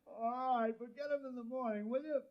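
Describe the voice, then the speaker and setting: An actor playing a grumpy villager roused from sleep, irritable, in 1950s radio drama style. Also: male voice, very high voice, fairly even intonation, fairly quick. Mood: irritated. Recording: dull, distant old radio recording.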